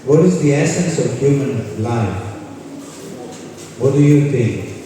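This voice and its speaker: A man's voice: mid-range voice, animated, slow pace, background noise. A man from Croatia, giving an informal spiritual talk and putting questions to a live audience. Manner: conversational, poses questions to the audience. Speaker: kirtan leader and spiritual speaker. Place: large indoor hall with a live audience. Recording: echoey hall, voice far from the mic.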